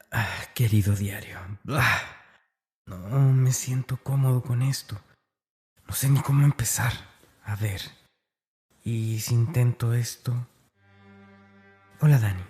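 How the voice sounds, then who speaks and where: A male actor plays a tormented schoolboy confiding in his diary in a horror radio drama. The voice is fairly low and soft-edged, hesitant and brooding, with a dark streak. Light music plays underneath. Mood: awkward, hesitant. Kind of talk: confessional diary monologue in horror audio drama.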